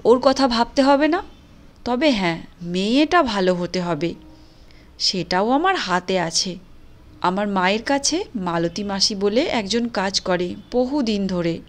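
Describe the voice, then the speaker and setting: A woman's voice: medium pitch, natural voice. An actress playing a caring middle-aged housewife in a cartoon moral story, earnest and persuasive in her talk. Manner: earnest, coaxing, insistent.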